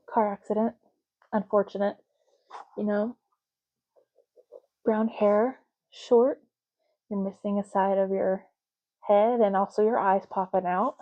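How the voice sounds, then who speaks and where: A woman speaking softly and unhurriedly in an ASMR roleplay, playing a chatty, matter-of-fact official in short murmured phrases. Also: mid-range voice, fairly even intonation, takes it slowly, long pauses, dull audio. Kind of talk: soft-spoken ASMR roleplay.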